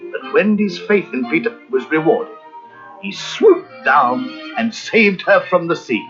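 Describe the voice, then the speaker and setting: A male narrator reads a children's storybook expressively, with lively, swooping intonation. His fairly high voice sits over music on a slightly dull recording. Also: unhurried.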